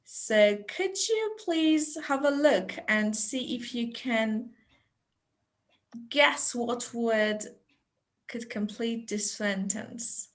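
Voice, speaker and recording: A woman leads an online teacher-training session, speaking slowly in a somewhat high voice. She chats easily, dropping in little laughs and fillers as she talks to participants over a video call. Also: lively intonation. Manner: chatty, unhurried, with light laughs and fillers. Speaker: webinar presenter. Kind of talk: friendly online teacher talk.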